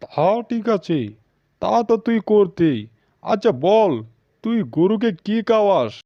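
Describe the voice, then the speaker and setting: Guy doing haughty grumpy animal king in a cartoon, highish male voice, bossy, kinda dull lo-fi audio. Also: measured pace, no room sound. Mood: haughty questioning. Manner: imperious, questioning, testy. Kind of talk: cartoon dialogue.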